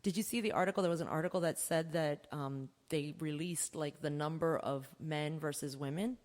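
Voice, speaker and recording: A woman's voice: low voice, normal speed, darkish tone. A woman chatting casually as a talk show co-host. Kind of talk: casual chat. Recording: long hall-like reverb.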